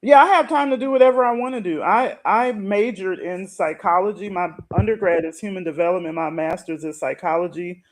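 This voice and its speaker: A woman's voice: lowish voice, fairly quick, few pauses. A middle-aged woman talking casually and explaining her routine as a guest. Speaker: guest, retirement and money coach who writes articles.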